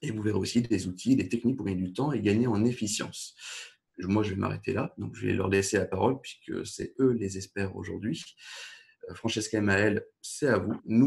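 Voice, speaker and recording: A man's voice: lowish voice, creaky, darkish tone. A man hosting a live webinar, making orderly welcome announcements. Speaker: webinar host.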